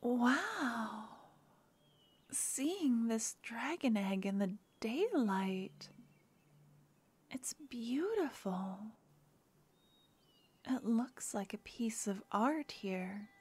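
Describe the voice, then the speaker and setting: A woman voicing a gentle young necromancer girlfriend in an ASMR fantasy roleplay, speaking softly and often whispering. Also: mid-range voice, breathy edge, full character voice. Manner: soft and intimate, often whispering.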